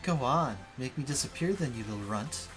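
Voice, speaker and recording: A man's voice: mid-range voice, lively intonation, a little fast, natural voice. A man voicing an obsessed, scornful female impostor villain from a visual novel in a haughty, theatrical way. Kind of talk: dramatic visual novel read-aloud. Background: game music.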